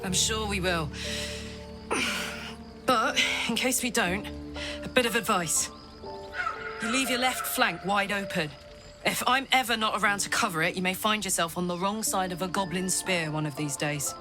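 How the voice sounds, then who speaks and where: A woman's voice: mid-range voice, moderate pace, lightly acted. A woman playing an ailing, tough soldier in a video game, speaking frankly and casually with a weary, sighing manner. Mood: wry, caring. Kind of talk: naturalistic video game acting. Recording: loud music behind the voice. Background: loud music.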